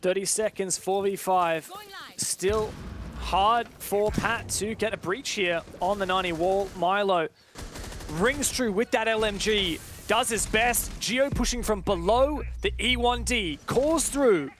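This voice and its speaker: A man doing live esports play-by-play commentary, getting excitable on big plays. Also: sits high, measured pace, a little hiss or hum.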